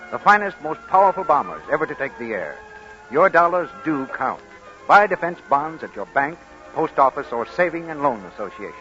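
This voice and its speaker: A man's voice: on the high side, pushed and bright. An American man announcing in a polished, emphatic, scripted 1940s radio advertising style. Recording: muffled, raspy 1940s radio broadcast recording.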